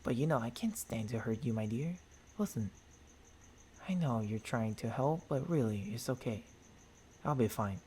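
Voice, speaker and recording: A man voicing a gentlemanly, tender vampire boyfriend in an intimate ASMR roleplay, speaking haltingly into a close binaural microphone with formal endearments and a husky, breathy voice. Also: mid-range voice, expressive, a little hiss or hum, natural voice. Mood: reassuring.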